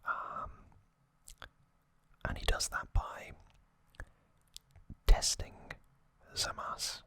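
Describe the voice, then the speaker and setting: An ASMR host speaks entirely in a bright, sibilant whisper. The delivery is slow and level, with soft, breath-only words set apart by frequent pauses, like a gently paced trivia recap.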